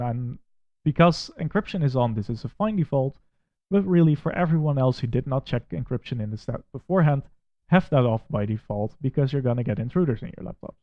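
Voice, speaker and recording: A man from the Netherlands with a Dutch accent, walking through a software installer step by step and critiquing it in a plain, methodical way. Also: mid-range voice, dry recording, lo-fi sound. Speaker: tech reviewer. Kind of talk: screen-recorded software walkthrough.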